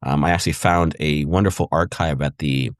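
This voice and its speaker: A man's voice: a bass voice, steady tone, fairly quick, no echo, clean studio sound. A middle-aged man from Puerto Rico, a historian answering interview questions thoughtfully and often adding "right?" and "again". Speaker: guest historian.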